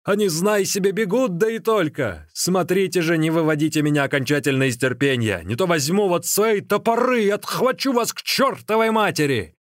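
A man's voice: medium pitch, completely flat delivery, normal speed, few pauses, clean tone, dry recording, studio quality, close to an everyday voice. A man voicing a hot-tempered, gullible warrior in a storybook, begging plaintively and exclaiming in desperation. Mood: exasperated, furious. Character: hot-tempered gullible warrior, pleading desperately as his legs run away.

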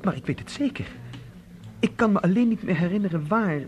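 A man acting in a radio drama as an intense, obsessed young man with a halting, insistent way of talking. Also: sits high, expressive, a little mellow, muffled recording, a little hiss or hum. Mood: insistent, intrigued.

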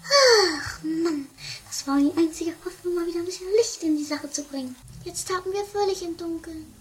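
A performer voicing a jittery, whiny, easily offended little companion with a squeaky voice in a campy horror-comedy radio play. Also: moderate pace, a bit bright. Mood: huffy, whiny. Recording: slightly noisy homemade tape.

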